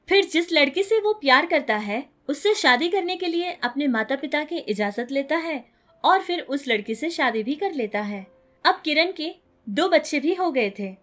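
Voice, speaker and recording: A woman's voice: high-pitched, a bit bright. A woman narrating a scripted moral story in an earnest, instructive manner.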